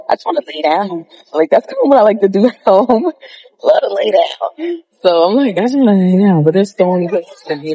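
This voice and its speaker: A woman's voice: lowish voice, warm tone, poor audio. A young woman vlogging casually to her phone while lying outdoors on a windy cruise ship deck, chatty and slangy. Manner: chatty, slangy, laid-back. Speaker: travel vlogger. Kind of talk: casual chat to camera. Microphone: phone held at arm's length.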